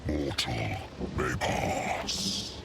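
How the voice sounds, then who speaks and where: A man voicing an eerie monstrous ferryman in a video game, serving a dark master in halting, ominous, gravelly phrases. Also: extremely low pitch, heavy background noise, full character voice. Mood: cold, menacing. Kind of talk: video game creature acting.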